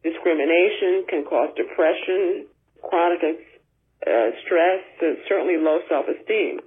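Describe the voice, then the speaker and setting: A woman caller leaving a measured, earnest voicemail question. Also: on the low side. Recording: husky tinny phone voicemail.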